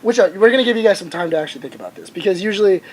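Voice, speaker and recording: A man chatting casually as a podcast co-host, excitable and sweary, stumbling over repeated starts. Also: high-pitched, rapid-fire, slight background noise, low-quality recording. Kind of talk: casual panel chat.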